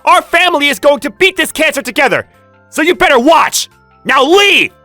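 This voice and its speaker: A woman voicing a loving, protective husband who speaks softly and turns angry at his mother. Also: sits high, expressive, normal speed, dry recording, soft background music, voice pushed up. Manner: gentle and soothing, furious when defending.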